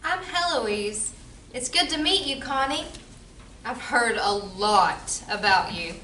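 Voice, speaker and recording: A woman's voice: on the high side, animated, slow, sharp tone, slight background noise, full character voice. A woman playing a friendly, welcoming head bartender in an amateur comedy play. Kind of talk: amateur stage comedy radio play acting. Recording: echoey room from far off.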